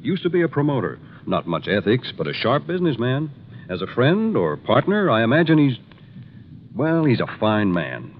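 A man playing an earnest, worried insurance executive in a 1950s radio drama, speaking gravely and emphatically. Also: mid-range voice, wildly swooping pitch, normal speed. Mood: grave, urgent. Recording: dull, faintly noisy 1950s radio recording.

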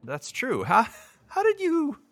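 A man's voice: very high-pitched, wildly swooping pitch, slow pace, fairly bright tone, full character voice. An actor playing an earnest, quirky, self-doubting young man anxious about a date in an audio drama. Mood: hesitant.

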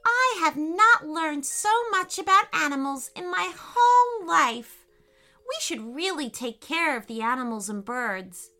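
Excitable earnest little schoolgirl, very high and bright, storybook kid, woman putting her on. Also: unhurried, soft background music, heavily acted. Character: eager curious young schoolgirl.

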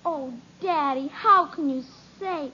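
A woman's voice: high voice, slow, bright, forceful tone, exaggerated voice. An actress playing a young girl named Kathy in a 1950s radio sitcom, pleading and whiny. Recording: dull old radio recording, faint hiss.

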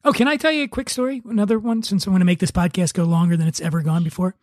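A man's voice: sits high, steady tone, racing, a little mellow, dry recording, studio quality. A man hosting a podcast in casual comic chat, with a joking, self-deprecating manner. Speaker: podcast host.

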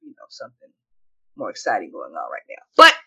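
A woman's voice: very deep voice, wildly swooping pitch, brisk, gravelly, sharp tone. Chatty middle-aged woman, muffled audio. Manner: chatty anecdote-telling, emphatic and opinionated. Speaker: podcast co-host. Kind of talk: casual conversation.

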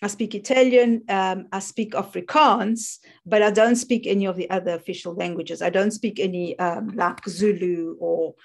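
A middle-aged woman with a South African accent chats easily as a guest in an interview. She rambles, restarts her sentences and laughs often. Her voice sits at an ordinary middle pitch. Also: steady tone, moderate pace, hardly any pauses. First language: English. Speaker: guest, fear coach. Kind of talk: casual interview chat.

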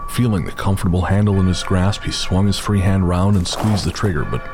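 A man giving scripted, measured narration for a horror audio drama, with a music score playing behind him. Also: deep voice.

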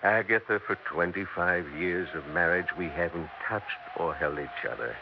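Curt, exhausted husband, low and gruff, old radio play. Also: male voice, normal speed, background noise. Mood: weary. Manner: tired, curt. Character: weary, gruff husband Carl, cold and dismissive. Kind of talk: 1960s radio drama acting. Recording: dull vintage radio recording.

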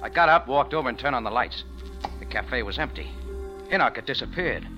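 An actor playing a wry, tough American cafe owner and reluctant sleuth, narrating and acting in a 1940s radio drama. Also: male voice, medium pitch, lively intonation, a little fast, sharp tone. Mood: tense narrating. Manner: wry, laconic, hard-boiled. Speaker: lead character and narrator. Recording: old radio recording with music.

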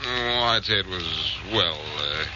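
An actor playing a suave, wisecracking detective hero with wry, teasing banter and an American accent, in 1940s radio drama style. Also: male voice, low voice, animated, takes it slowly. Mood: smooth, teasing. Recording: muffled, noisy old radio recording.

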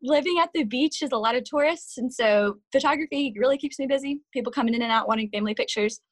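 A woman, a guest on a podcast, talking in a relaxed, giggly way with lots of "like.". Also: sits high, fast talker, no echo. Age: 20s. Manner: relaxed, giggly, says "like" a lot. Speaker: guest, photographer and worship leader. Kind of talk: casual chat. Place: home, talking over a video call.

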